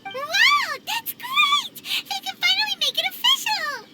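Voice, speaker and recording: Game fairy sidekick: childlike, curious, very animated, unhurried delivery. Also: female voice, full character voice. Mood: excited. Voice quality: squeaky, childlike. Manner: bubbly, curious, exclamatory. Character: small excitable childlike fairy companion, speaks in third person. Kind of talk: video game voice acting. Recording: loud music behind the voice.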